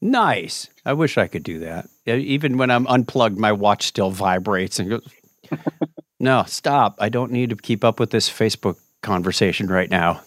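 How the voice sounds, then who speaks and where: A man's voice: fairly low pitch, normal speed, no room sound, clean studio sound. A man hosting a podcast in a casual, joking interview chat, given to wandering off on tangents. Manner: lively, jokey, tangent-prone. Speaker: podcast host.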